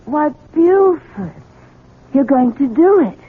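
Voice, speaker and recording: An actress playing a vain, headstrong belle bride in a 1970s radio mystery, theatrical and haughty. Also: female voice, high-pitched, sing-song, slow pace, heavily acted. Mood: imperious, curious. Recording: muffled, tinny old radio broadcast.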